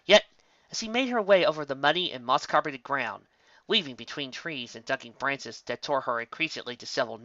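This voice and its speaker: A narrator reading a fan story aloud in an expressive way that leans into emphatic words. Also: mid-range voice, no echo. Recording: dull lo-fi recording.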